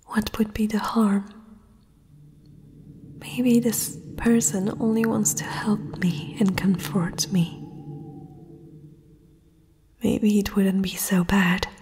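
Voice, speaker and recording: Casting a woman as a spellbound young princess: haughty, hesitant, mid-pitched breathy whisper, very unhurried, fantasy ASMR. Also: level tone, a little mellow, a little hiss or hum, natural voice. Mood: dazed, dreamy. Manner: slow, hesitant, dreamy delivery. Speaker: ASMR roleplay performer.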